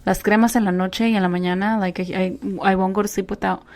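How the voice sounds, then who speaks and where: Brief: forties woman, rambling talker, mid-pitched, trails off and hunts for words. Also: flat delivery, quick pace. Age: 40s. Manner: rambling, speeds up in bursts, searches for words. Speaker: podcast co-host. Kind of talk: casual chat.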